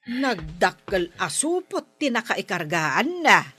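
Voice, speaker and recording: An actress with an Ilocano accent playing a nagging, thrifty village captain's wife in a radio comedy, scolding in exasperation. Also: female voice, mid-range voice, sing-song, slow, bright, forceful tone, slightly rough, exaggerated voice. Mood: exasperated, scolding.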